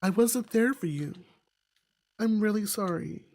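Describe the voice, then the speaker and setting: A woman's voice: medium pitch, expressive, a little slow, darkish tone, dry recording, pitched above the performer's own voice, close to an everyday voice. A woman voicing a frail, stoic older mother with a thin voice in a visual novel. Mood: weary, reserved.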